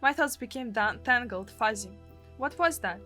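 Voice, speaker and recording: A woman's voice: a little high, expressive, normal speed, bright, forceful tone, faint music behind. A woman with a Russian accent, a native Russian speaker, dramatically narrating a visual novel as a streamer. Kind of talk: dramatic narration and live translation of game text.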